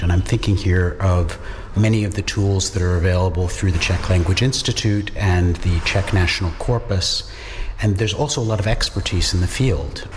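A middle-aged man with a very low voice speaks in a measured, thoughtful way, sometimes restarting his phrases. The recording has a long reverb tail and a faint hiss behind it. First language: English. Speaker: Czech studies lecturer and translator. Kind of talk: interview answers.

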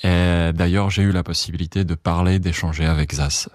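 A man's voice: sits low, fairly even intonation, brisk, no echo, clean studio sound. A Czech-native man speaking careful, hesitant French, with many fillers and restarts as he answers interview questions. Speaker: radio journalist.

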